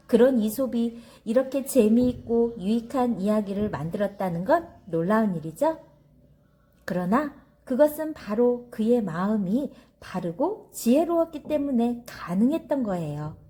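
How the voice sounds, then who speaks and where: Animated, high-pitched woman telling children's stories. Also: husky. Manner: didactic, addressing children directly. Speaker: teacher and storyteller for children. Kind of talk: children's story reading with commentary.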